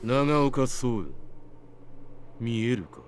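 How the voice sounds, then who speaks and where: An actor voicing a menacing, confident fighter in a Japanese visual novel game, with music behind him. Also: male voice, medium pitch, slow, a little hiss or hum, close to an everyday voice. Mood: grim, taunting.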